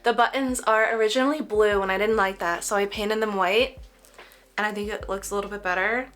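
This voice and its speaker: A woman's voice: medium pitch, fairly even intonation, fairly quick, a bit bright, faint music behind. A young woman with a slightly nasal voice, tired from a cold, rambling casually while she packs orders. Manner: rambling, heavy use of "like". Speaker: crafting vlogger.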